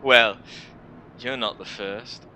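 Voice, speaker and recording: A man's voice: mid-range voice, fairly even intonation, takes it slowly, bright, forceful tone, slight background noise, low-quality recording. A man voicing a jaded, mocking young woman warrior in a visual novel, with sardonic, sighing delivery. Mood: mocking, gloomy.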